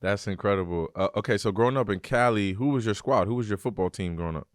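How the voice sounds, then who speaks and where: A man's voice: lowish voice, quick pace, no echo, clean studio sound. A man in his thirties hosting a podcast interview, upbeat and friendly with slangy reactions. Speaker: podcast host, former football player. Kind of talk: casual interview chat. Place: dark studio. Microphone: studio mic on boom arm.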